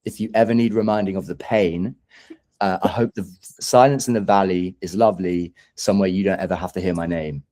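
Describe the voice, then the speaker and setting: A man's voice: sits low, steady tone, brisk, warm tone. A man who is a singer-songwriter guest in a casual interview, speaking in a hesitant, thoughtful, self-deprecating way.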